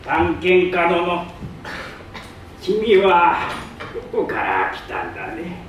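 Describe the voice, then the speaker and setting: Man acting pompous old geographer, slow drawn-out delivery, highly animated pitch, stage reading, very echoey hall. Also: lo-fi sound, exaggerated voice. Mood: eager. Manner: pompous, drawn-out, emphatic pronouncements. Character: pompous, eager old male geographer, self-styled scholar. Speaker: stage reader. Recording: distant mic in echoey hall, faint noise.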